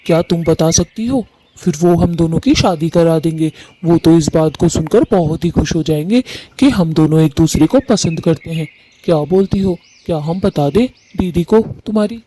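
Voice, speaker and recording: A man's voice: on the high side, normal speed, mellow, slight background noise, natural voice. A man voicing an earnest, affectionate male bird who talks in a coaxing way in a cartoon story. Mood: hopeful. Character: earnest, affectionate young male bird suitor and brother-in-law. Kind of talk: animated story dialogue.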